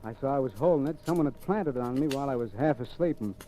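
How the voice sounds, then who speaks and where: Actor as a mellow, cocky wisecracking drifter. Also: male voice, medium pitch, expressive, fast, lightly acted, poor audio. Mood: explaining, wary. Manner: wisecracking, slangy, offhand. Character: cocky, cynical drifter man accused of murder. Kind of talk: 1940s radio acting, first-person narration and dialogue. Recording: old radio recording with music behind.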